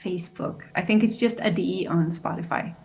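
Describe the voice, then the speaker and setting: Low-voiced woman from Sweden on a call. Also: fairly quick, creaky. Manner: relaxed, hesitant, many fillers. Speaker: guest singer-songwriter. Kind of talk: remote interview. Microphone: video call.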